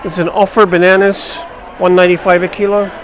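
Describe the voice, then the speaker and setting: A man's voice: sits high, little pitch movement, brisk. Chatty man at a noisy outdoor market. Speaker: vlog host. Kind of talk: casual chat while shopping. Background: street and market noise.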